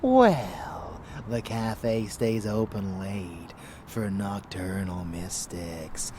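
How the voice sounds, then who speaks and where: A man playing a haughty, seductive and menacing vampire lover in an intimate ASMR roleplay, with breathy, teasing murmurs. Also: fairly low pitch, animated, darkish tone, a little hiss or hum. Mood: playful, smug. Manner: breathy whispering murmurs, slow and deliberate, teasing.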